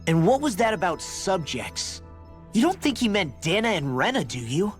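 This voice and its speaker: An actress playing a blunt, hot-tempered young adventurer in a video game, direct and challenging. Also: female voice, mid-range voice, big pitch swings, normal speed, soft background music, lightly acted. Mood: skeptical. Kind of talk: video game cutscene voice acting.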